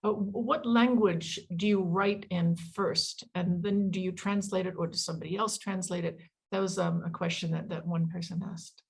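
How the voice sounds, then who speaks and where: A woman moderates a poetry panel and passes audience questions to the guests. She speaks haltingly and restarts her sentences. Her voice is husky and sits a little low. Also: steady tone, a little mellow, poor audio. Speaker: event host.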